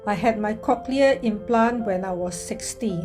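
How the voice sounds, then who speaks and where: Female, 60s, mid-range voice, scripted customer testimonial over clear music bed. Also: medium pitch, a little slow, a little mellow. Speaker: product user giving testimonial.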